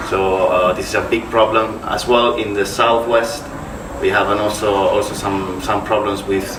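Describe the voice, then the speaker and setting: Low, flat-voiced man from Spain. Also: moderate pace. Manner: hesitant, searching for words. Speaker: guest. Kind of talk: casual conversation. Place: office contact centre. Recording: roomy, distant, background hum.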